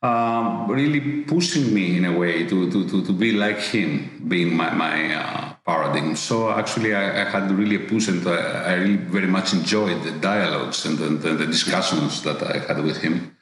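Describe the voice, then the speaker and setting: A middle-aged man with a Greek accent speaks in a low voice. He answers slowly and hesitantly, stumbling over repeated words and fillers. The recording sounds strongly reverberant, as in a bare room. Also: a bit bright. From Greece. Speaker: business executive guest. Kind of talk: interview answers.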